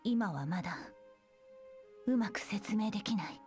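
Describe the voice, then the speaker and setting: A woman's voice: medium pitch, fairly quick, darkish tone, soft background music, lightly acted. A woman playing a stern, proud, stubborn young soldier in a Japanese video game, speaking in curt, serious phrases.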